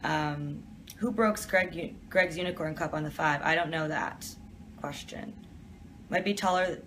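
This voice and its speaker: A woman's voice: low voice, unhurried, a bit bright, a little hiss or hum. A young woman from Detroit, chatting casually as she reads out viewer questions and answers them in an office during a live stream. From Detroit, USA.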